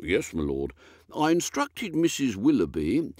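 Guy doing a proper british butler, very formal, pitch rising then dropping low, audiobook character. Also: wildly swooping pitch, a little mellow, no room sound, studio quality. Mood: solemnly precise. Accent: British English. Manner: formal, measured, scrupulously precise. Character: stately, deferential male English butler.

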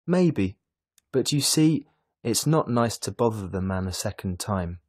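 A man reading a storybook, voicing a gentle, reluctant older doctor who talks to his daughter with mild hesitation. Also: fairly low pitch, animated, measured pace, husky. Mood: hesitant.

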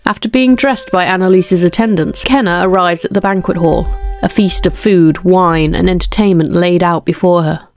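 A woman narrates a story in a lowish voice with plenty of vocal fry. Music plays softly behind the scripted reading. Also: normal speed.

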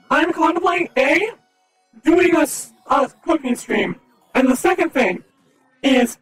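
Really high-pitched guy streaming, a bit raspy, chatty and upbeat, music going in the background. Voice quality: slightly raspy. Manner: chatty, upbeat, talks to chat. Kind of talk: casual live gaming stream chat.

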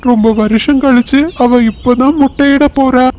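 A man plays a devoted cartoon crow husband. His voice sits high, and he talks in an earnest, anxious, pleading way, eager to please. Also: steady tone, normal speed, darkish tone, slight background noise.

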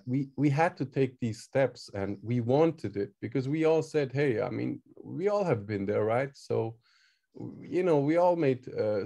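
Guy in a casual interview, soft mellow voice, english, kinda searching for his words. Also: fairly low pitch, creaky. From Switzerland. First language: not English. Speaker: guest, crypto project team member.

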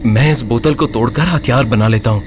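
A man voicing a brave, clever young village husband, polite and persuasive, in an animated story. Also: mid-range voice, a little fast, over music, pitched below the performer's own voice, lightly acted. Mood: reassuring.

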